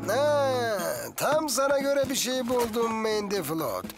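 An actor playing a chirpy, helpful salesman in an animated cartoon dub. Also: extremely high pitch, slow, music in the background, exaggerated voice.

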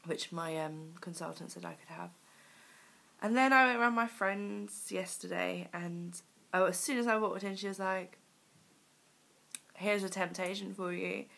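Croaky-voiced woman in her twenties. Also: fairly low pitch, sharp tone. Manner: chatty, rambling, many ums. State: croaky from a cold. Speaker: vlogger. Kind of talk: casual weight-loss diary chat. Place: small room at home, indoors. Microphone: webcam at arm's length.

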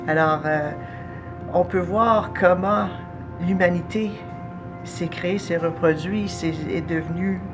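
A woman in her forties with a Canadian French accent, interviewed as an artist, speaking thoughtfully with frequent pauses and fillers. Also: on the low side. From Ontario, Canada. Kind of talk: documentary interview. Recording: music bed under voice.

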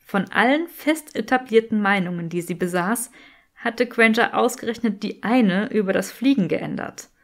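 A woman's voice: medium pitch, moderate pace. Casting: female audiobook narrator for scripted fan fiction, mid-range voice.

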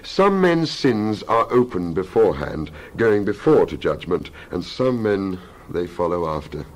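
A man with a low, rough-edged voice performs a dramatic reading of scripture. His delivery is solemn and oratorical, with emphatic phrasing and wide swings in pitch. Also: big pitch swings, unhurried, poor audio. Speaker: Bible reader.

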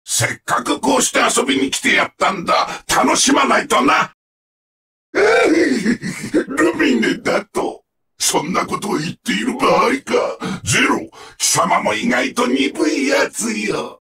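An actor playing a sadistic, mocking combat-robot villain in a Japanese video game, with sneering, theatrical, drawn-out taunts. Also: male voice, extremely high pitch, sing-song, bright, forceful tone, far from the mic, heavily acted. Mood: taunting.

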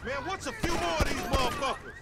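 An actor playing a streetwise young hustler in a game cutscene, talking cocky, profane street slang. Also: male voice, very high voice, fast, very noisy. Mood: urgent. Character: streetwise young male car repo hustler. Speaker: video game protagonist. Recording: loud game score and gunfire behind.

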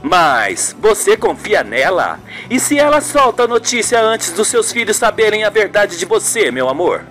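A performer voicing a tender, devoted man in a radio soap opera, soft and affectionate with his beloved. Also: medium pitch, very animated, normal speed, hardly any pauses, poor audio. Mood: concerned. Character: Regis, tender devoted male lover, soothing. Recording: music behind the voice.

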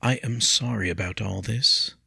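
An earnest young woman in a storybook tale, anxious and tender, speaking in a soft, breathy hush as a man puts her on. Her voice rides higher than his own deep, gravelly tone, still a little husky, in a dry, close room. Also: normal speed, dry recording, heavily acted.

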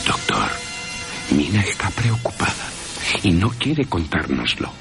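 A man's voice: deep voice, sing-song, measured pace, gravelly, music in the background, exaggerated voice. A man playing an earnest, protective young fiancé with a solicitous, insistent manner. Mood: concerned.

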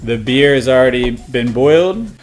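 A man's voice: medium pitch, expressive, moderate pace, soft background music. Chatty man hosting a beer review. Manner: upbeat, explains at length with fillers. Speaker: show host. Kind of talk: casual beer review chat. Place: indoor studio set with wooden backdrop.

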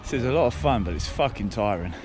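A man's voice: fairly low pitch, lively intonation, fast. A young man trading wry, swearing jokes with friends while vlogging outdoors on a derelict ski slope. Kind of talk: casual banter while filming. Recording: background music.

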